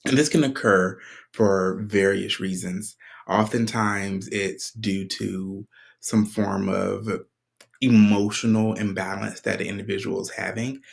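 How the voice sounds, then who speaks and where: A man hosting a spiritual podcast in a casual, conversational way, clearing his throat often while his voice recovers. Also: on the low side, fairly even intonation, measured pace. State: recovering from a sore voice. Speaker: podcast host.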